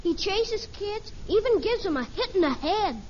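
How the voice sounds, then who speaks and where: A woman's voice: very high-pitched, animated, moderate pace, a little mellow. An actress playing an earnest, blunt little American girl in a 1950s radio sitcom. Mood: matter-of-fact. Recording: muffled, noisy old radio recording.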